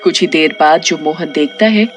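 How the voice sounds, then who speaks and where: A woman's voice: low-pitched, normal speed, faint music behind. A woman reading a scripted horror story narration for a cartoon tale.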